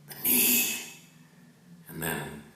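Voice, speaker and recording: A man giving a dramatic literary reading like a raconteur's monologue, theatrical and deliberate with his pauses. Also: slight background noise. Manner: theatrical, deliberate pauses, swinging from hushed to emphatic. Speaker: reader performing a novel excerpt. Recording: echoey room, distant from the mic.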